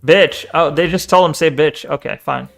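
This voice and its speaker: A man streaming and chatting casually, animated, swearing and talking back to the screen. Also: a little high, normal speed, gravelly, music in the background. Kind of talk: casual live-stream chat and video reaction.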